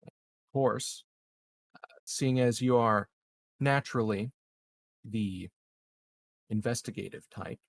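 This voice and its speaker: Man voicing a calculating male corporate schemer for a tabletop RPG: husky, very slow, polite and veiled. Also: mid-range voice. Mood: guarded, calculating. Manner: halting, measured, veiled and formal. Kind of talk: improvised tabletop roleplay.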